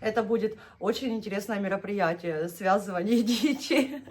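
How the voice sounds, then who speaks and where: A woman's voice: mid-range voice, normal speed, darkish tone. A young woman hosting a knitting vlog, chatting casually and gushing over yarn, laughing often and drawing out her words when she names colors. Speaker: knitting vlogger showing yarn. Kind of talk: casual unboxing chat.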